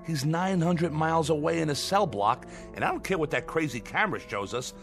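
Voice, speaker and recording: An edgy small-time crook in a radio drama. His delivery swings widely in pitch, gruff, snappish and full of street slang, over faint music. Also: lively intonation, fairly quick, a bit bright. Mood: sour, insistent. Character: edgy, irritable small-time male crook, suspicious and superstitious.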